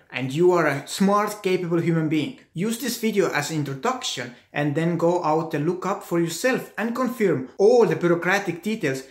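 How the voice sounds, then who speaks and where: A man's voice: a little high, roomy sound. Earnest young man with Estonian accent. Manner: earnest, deliberate, gestures with hands while talking. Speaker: vlogger. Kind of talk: scripted advice vlog.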